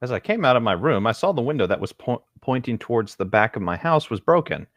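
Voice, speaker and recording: A man's voice: medium pitch, big pitch swings, quick pace, no room sound, lo-fi sound. A young man reading a story aloud and chatting casually as a co-host. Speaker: co-host of a comedic creepypasta reading.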